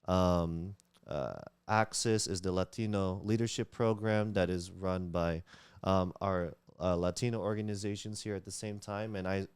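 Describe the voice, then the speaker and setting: Man, very low voice, dry close sound, Tagalog speaker, earnest interview guest. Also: fairly even intonation, moderate pace, a little mellow, clean tone, no echo. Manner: earnest, many fillers and restarts, laughs while talking. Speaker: community leader, guest. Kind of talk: casual interview talk.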